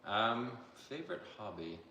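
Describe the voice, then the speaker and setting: Low-voiced man, distant and echoey. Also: sing-song, unhurried, gravelly, pushed and bright, a little hiss or hum. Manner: easygoing, brief replies. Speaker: co-host, husband. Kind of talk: casual livestream chat. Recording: echoey room, away from the mic.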